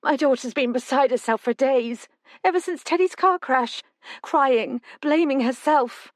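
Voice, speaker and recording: Brief: woman doing a scared, weepy older mother who can't stop talking, pitched up, dry booth sound. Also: no room sound. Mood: distraught. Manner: tremulous, rushed outpouring. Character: older mother, frightened and tearful.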